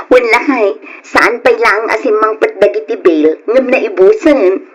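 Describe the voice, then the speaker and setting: An actress with an Ilocano accent playing a sentimental, determined working wife in a radio drama, her voice husky and emotional. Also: fairly high pitch, slow pace. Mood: cheerful, curious. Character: hardworking married woman selling live online, sentimental, determined.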